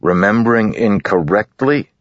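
A man reading aloud, voicing a big, stubborn, boastful boy who talks loudly in rough dialect. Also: low voice, lively intonation, normal speed, dry recording, pitched above the performer's own voice. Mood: insistent. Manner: loud, insistent, dialect-speaking. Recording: dull lo-fi recording.

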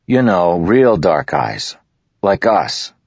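A man's voice: low-pitched, sing-song, slow, vocal fry, breathy edge, no echo, voice pushed up, heavily acted. A man reading aloud, voicing a scowling, sullen boy with a sour, grumbling way of talking. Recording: dull lo-fi recording.

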